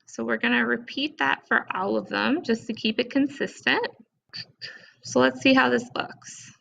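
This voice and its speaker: A woman presenting a casual step-by-step software tutorial in an informal, conversational way, recorded with dull, lo-fi sound. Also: fairly high pitch, unhurried, gravelly.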